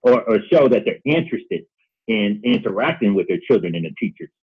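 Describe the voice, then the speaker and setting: A husky-voiced man talks earnestly in an open discussion. He moves haltingly, repeating words as he builds his point, and the sound is dull and lo-fi, like a call-in line. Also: lowish voice, lively intonation. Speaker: guest on a call-in discussion show.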